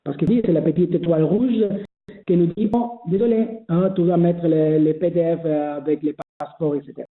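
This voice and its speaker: A man's voice: fairly high pitch, a little fast. A man who is not a native French speaker, presenting a webinar and explaining online registration, hesitant with many fillers and restarts. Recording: echoey phone-like webinar audio.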